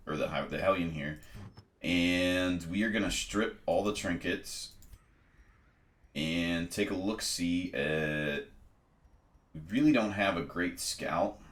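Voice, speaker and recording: A man with a very low voice talks through a video game step by step, pausing on ums as he weighs each choice. He sounds congested. His voice rings in a noticeably echoey room. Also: fairly bright tone. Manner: methodical, explaining step by step with frequent ums. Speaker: let's play host. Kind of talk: casual gameplay commentary and tutorial.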